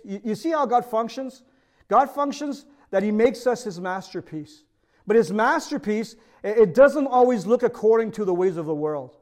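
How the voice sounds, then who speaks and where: A middle-aged man with a French accent, his native tongue being French, delivers a sermon in a notably high voice. He preaches earnestly as pastor and keeps checking in with his listeners through rhetorical questions. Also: a little mellow.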